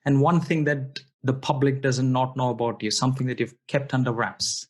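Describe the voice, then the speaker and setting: A man's voice: medium pitch, level tone, moderate pace. A man hosting an interview, polite and formal, often repeating words of thanks and praise before each question. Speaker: interview host. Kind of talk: podcast interview.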